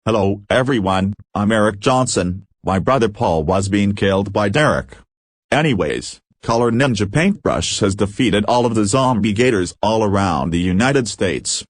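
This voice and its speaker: A matter-of-fact television newsreader played by a man, reading a scripted bulletin. His voice sits fairly low, and the recording is close and dry, free of room sound. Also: expressive, normal speed, dry recording.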